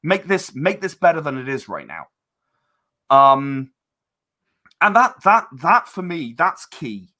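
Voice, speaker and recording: A man being interviewed in a casual chat, speaking earnestly and often haltingly, repeating words as he gathers his thoughts. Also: fairly high pitch, very animated, pushed and bright. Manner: earnest about creative work. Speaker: interview guest, game and audio drama creator.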